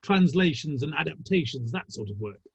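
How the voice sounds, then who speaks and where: A man playing a wry, urbane, gossipy Victorian theatre manager in a dramatic play reading, with arch, ornate delivery and a husky voice. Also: fairly high pitch, expressive, normal speed, no echo, muffled recording, heavily acted. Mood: droll, gossipy. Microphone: online call.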